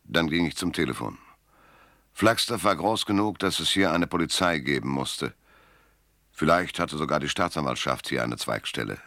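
Cynical private eye, very low gravelly voice, forceful edge, dry laconic delivery, noir radio play. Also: male voice, dry recording, full character voice. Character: hardboiled, cynical male private detective, narrator of his case. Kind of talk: German radio crime drama, first-person noir narration and dialogue.

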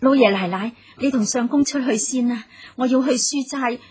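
Gentle, very breathy young Cantonese wife. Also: female voice, fairly high pitch, moderate pace. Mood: meek, dutiful. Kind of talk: Cantonese radio drama acting.

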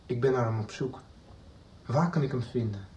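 A man's voice: mid-range voice, moderate pace, a little mellow, natural voice. A man playing an urgent searcher, roomy and distant. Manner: searching, questioning. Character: man searching for a missing person. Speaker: character in a radio soap.

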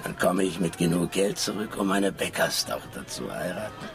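An actor playing a poor, lovesick soldier on watch, with a gravelly voice, in a children's radio drama. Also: male voice, low voice, expressive, normal speed, music in the background. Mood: hopeful.

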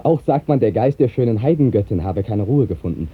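An actor playing a devout, solemn minstrel poet in declamatory 1950s radio drama style. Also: male voice, on the low side, animated, brisk, husky. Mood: grave, mysterious. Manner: declamatory, poetic phrasing. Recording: tinny, band-limited 1950s radio recording.

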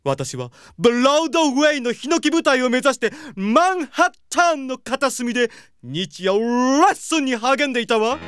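A male actor playing a flamboyant effeminate man in an audio drama, with feminine phrasing and dramatic, drawn-out delivery. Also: extremely high pitch, sing-song, fairly bright tone, smooth, no echo, full character voice. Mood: wistful, theatrical. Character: flamboyant, theatrical effeminate man reminiscing about youthful stage dreams. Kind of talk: Japanese audio drama acting.

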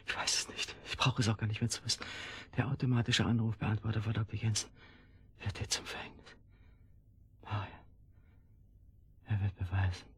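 An actor playing a poisoned husband in a German radio drama, desperate, halting and in pain, speaking standard German. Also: male voice, lowish voice. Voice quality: husky, gravelly, breaking into whispers. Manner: halting, groaning, gasping delivery. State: in pain, failing strength.

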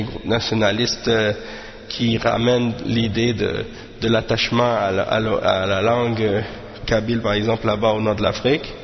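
Guy teaching religion, kinda halting, lots of restarts, dull muffled recording. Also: medium pitch, big-room sound. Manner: hesitant, with many restarts and Arabic fillers. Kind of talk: informal religious lesson.

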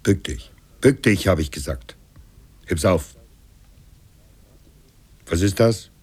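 Low, husky ship's captain. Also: male voice, slow pace, a little mellow, heavily acted. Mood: stern command. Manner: curt, controlled authority. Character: calm, commanding ship's captain, firm and terse. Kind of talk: German radio drama acting with narration.

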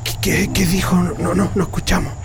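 An actor playing a frightened, protective Chilean railway worker held prisoner, hushing and stammering in a radio drama. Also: male voice, high voice, steady tone, moderate pace, noisy background. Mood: anxious, hushing.

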